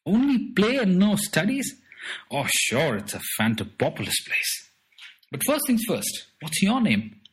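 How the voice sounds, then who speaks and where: A man's voice: high voice, huge pitch swings, a little slow, slight background noise, voice pushed up, heavily acted, poor audio. A man voicing a boastful little alien trickster who is playful, bossy and fond of made-up words, in a storybook. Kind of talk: storybook character voice.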